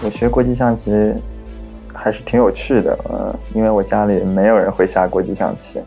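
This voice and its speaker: A man from China speaks calmly and reminisces in a fairly low voice. His tone is mellow, with a gravelly edge. He sounds like a chess player in a documentary interview. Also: brisk, faint music behind.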